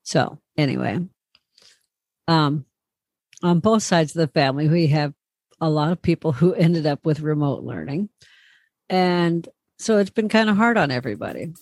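A woman's voice: low-pitched, normal speed, long pauses, a little mellow, no room sound, studio quality. A middle-aged woman co-hosting a casual podcast, telling rambling stories and teasing her co-host. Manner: chatty, rambling storyteller, teasing. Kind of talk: casual chat.

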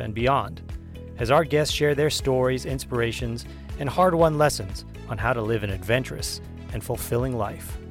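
A man hosting a podcast, introducing the show and his guest in a measured, scripted way. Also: medium pitch. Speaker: podcast host. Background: faint music.

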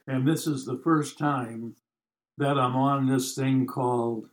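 A man's voice: medium pitch, steady tone, slow pace, poor audio. An American man in his nineties telling stories casually, with a wry, joking, rambling manner. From United States. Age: 90s. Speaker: podcast guest telling life stories. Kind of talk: casual storytelling.

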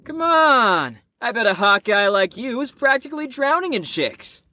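A woman's voice: on the high side, big pitch swings, normal speed, pushed and bright. A woman playing a brash, rebellious young slacker in an audio drama, teasing and sarcastic in slangy speech.

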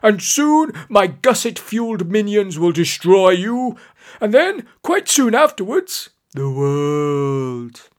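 Guy doing a gloating storybook villain, high and scornful, gets irritated, super theatrical. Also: sing-song, slow. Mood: gloating, exasperated. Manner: theatrical, scornful, shouting in high-pitched bursts. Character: gloating, irritable male villain commanding magical underpants.

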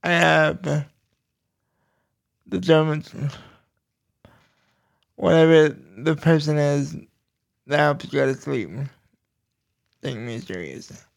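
Male podcast host, personal talk; very slow, halting delivery in short bursts, gravelly fry, slightly high-set voice.

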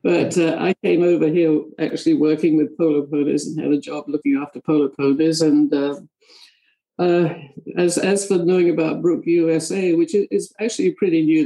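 An older woman with an English accent, a charity board member answering interview questions in a halting, rambling way. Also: sits low, warm tone, rough recording. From England. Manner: halting, rambling with many restarts.

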